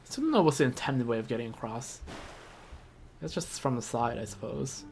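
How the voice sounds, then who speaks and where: A video game livestreamer chats casually in a medium-pitched voice. The delivery is rambling and slangy, thinking aloud while playing. Game music runs behind the speech. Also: lively intonation, brisk, vocal fry. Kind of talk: casual gameplay commentary.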